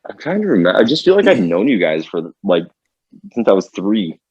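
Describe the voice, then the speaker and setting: A man's voice: low voice, very animated, brisk, a little mellow, slightly rough, dry recording, highs cut off. A man in his thirties, a podcast guest chatting casually, rambling with many restarts and laughing often. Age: 30s. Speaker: podcast guest, band member. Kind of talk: casual chat.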